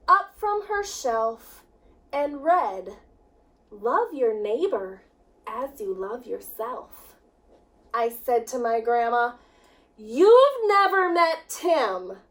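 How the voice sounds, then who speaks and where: A woman with a very high voice reads a children's picture book. Her delivery is slow and sing-song, with lively swings in pitch and emphatic, drawn-out words. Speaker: children's ministry director reading storytime.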